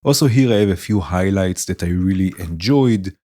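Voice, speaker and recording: A man hosting a modular synth tutorial, explaining modules in a relaxed way and often saying "right" and "let's say". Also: sits low, moderate pace. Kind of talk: demonstrating modules while talking. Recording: music playing behind the voice.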